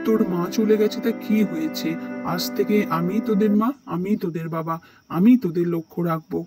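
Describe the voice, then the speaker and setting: An actor playing an ageing, ailing farmer father in an animated moral story, speaking earnestly and pleadingly to the children. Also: medium pitch, brisk, over music, close to an everyday voice. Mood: gentle, protective.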